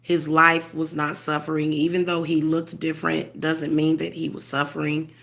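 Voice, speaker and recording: A woman speaking as a spiritual medium in a casual monologue, congested, halting with many fillers and restarts, earnest and tender. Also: low voice, completely flat delivery, creaky, fairly bright tone.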